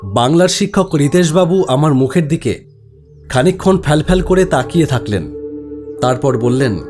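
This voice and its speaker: A man's voice: on the high side, animated, moderate pace, a little mellow. A man giving a dramatic, scripted first-person narration of a horror story. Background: background music.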